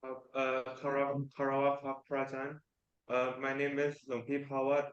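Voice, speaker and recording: A newly ordained monk, a man, introducing himself quickly and flatly. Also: medium pitch, reverberant, muffled recording.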